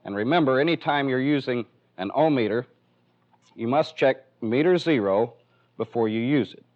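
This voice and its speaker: A man's voice: medium pitch, moderate pace. A man with an American accent giving a methodical, step-by-step technical demonstration as an instructor in a training film. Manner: methodical, plainly explanatory. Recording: dull, band-limited 1970s film soundtrack.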